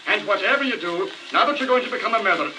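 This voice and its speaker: A crude, cheerful Irish husband in a very high voice, full of folksy exclamations. It is old comedy record acting, set far back under heavy crackle and hiss. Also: male voice, animated, fast talker. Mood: fond warning. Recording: noisy crackling 78 rpm record, distant.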